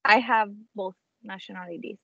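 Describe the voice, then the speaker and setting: A woman's voice: mid-range voice, steady tone, normal speed, fairly bright tone, dry recording. A young woman from Chile with a Chilean Spanish accent, speaking English as a second language in a casual chat, often restarting phrases while she hunts for words. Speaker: guest, actress. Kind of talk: casual video-call chat. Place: small room at home, indoors. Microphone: wireless earbuds on a video call. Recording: dull lo-fi call audio.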